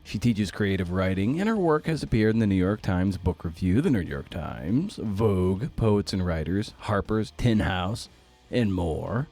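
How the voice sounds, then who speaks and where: A man hosts a podcast in a low, dark, relaxed voice. His delivery is conversational, and faint plucked guitar music plays behind him. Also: unhurried. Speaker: podcast host.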